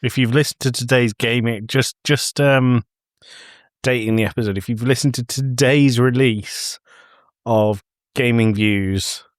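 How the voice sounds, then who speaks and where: A man's voice: medium pitch, fairly even intonation, unhurried, no room sound, clean studio sound. A man co-hosting a casual film review podcast in a jokey, blunt, emphatic way. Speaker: podcast co-host.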